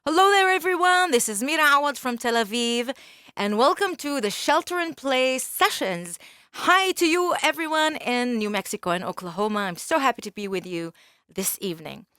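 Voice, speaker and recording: Woman, middle-aged, from Israel, Bulgarian first language, singer-songwriter, quick searching speech, many ums, soft voice, fairly high pitch. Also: animated, clear, resonant tone, no echo, clean studio sound. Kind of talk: chatting to a remote concert audience.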